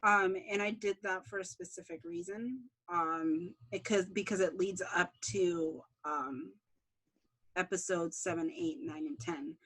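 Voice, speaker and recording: A woman's voice: lowish voice, unhurried, a bit bright, slightly rough, low-quality recording. A woman series creator answering interview questions in a casual way.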